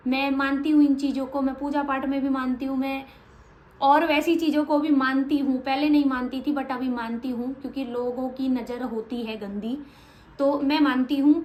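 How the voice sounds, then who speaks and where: A high-pitched woman, speaking plainly and a little bluntly as she answers her viewers. Her voice rings in an echoey room. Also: steady tone, normal speed, darkish tone. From Haryana, India. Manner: frank, matter-of-fact, a little blunt. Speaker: vlogger. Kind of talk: casual Q&A vlog.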